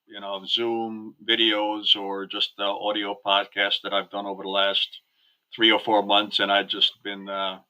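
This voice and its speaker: Bright-voiced Hungarian-born man. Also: fairly low pitch, monotone, a little slow, rough recording. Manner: chatty, many fillers. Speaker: podcast guest. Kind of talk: casual interview talk.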